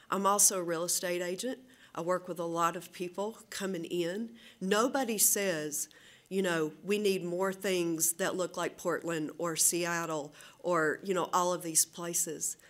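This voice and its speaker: A woman with a Southern American accent giving a public comment at a city council meeting, earnest and pausing often with fillers. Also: fairly low pitch. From Southern United States. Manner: earnest, with frequent pauses and fillers.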